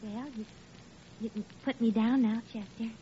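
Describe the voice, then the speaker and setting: An actress playing a sweet, anxious, devoted newlywed bride, fretful and tender, in old radio comedy style. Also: female voice, on the high side, fairly even intonation, moderate pace, dark tone, lightly acted. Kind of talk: 1940s radio comedy acting. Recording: muffled old radio broadcast, faint noise.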